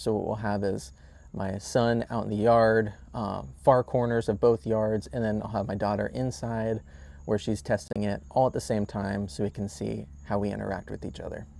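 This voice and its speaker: A man's voice: on the low side, creaky, dark tone. Man casually demonstrating a product. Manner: plain-spoken, explanatory. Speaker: product reviewer.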